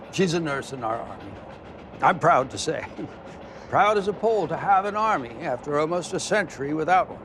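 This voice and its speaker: An actor as a chatty, chuckling priest from a period film, proud of his country and quick to tease. His voice sits a little high for a man, with a faint hum of train noise underneath. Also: animated, a bit bright. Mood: jovial.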